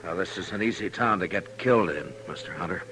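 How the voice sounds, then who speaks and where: A man playing a calm, tough western marshal in a 1950s radio drama, speaking in a laconic, authoritative way. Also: sits low, fast talker. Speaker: radio actor. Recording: noisy, humming 1950s radio recording.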